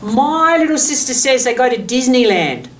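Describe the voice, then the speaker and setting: A woman's voice: sits high, sing-song, normal speed. An Australian woman in her sixties reads a picture book aloud to young children, adding asides, explanations and questions for them. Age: 60s. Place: furnished office room indoors, seated. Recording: distant microphone, noticeable room background noise.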